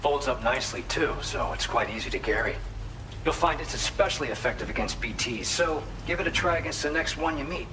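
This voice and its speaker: A man's voice: medium pitch, animated, fairly quick, distant mic, background noise, close to an everyday voice. A man playing an earnest, friendly researcher in a video game, explaining things in a chatty way. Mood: informative. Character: earnest male researcher at a remote observatory, friendly.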